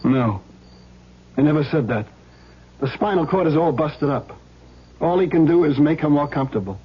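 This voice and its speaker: An American actor playing a desperate, guilty husband and small-time crook in a 1970s radio drama, pleading and defensive. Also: male voice, on the high side, lively intonation, moderate pace, slightly rough. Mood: guilty, upset. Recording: muffled, hissy 1970s radio broadcast recording.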